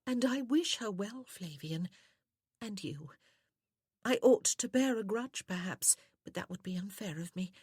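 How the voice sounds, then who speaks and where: A woman voicing a soft, sweet, tearfully reproachful young widow in a period romance audiobook. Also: medium pitch, lively intonation, a little slow, slightly rough, no echo, clean studio sound, pitched above the performer's own voice. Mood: wounded reproach.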